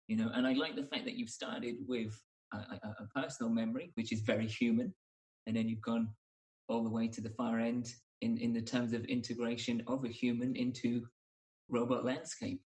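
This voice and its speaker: A man's voice: mid-range voice, darkish tone, rough recording. A man hosting a podcast in a conversational style, reflective and often questioning. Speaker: podcast host. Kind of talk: casual discussion.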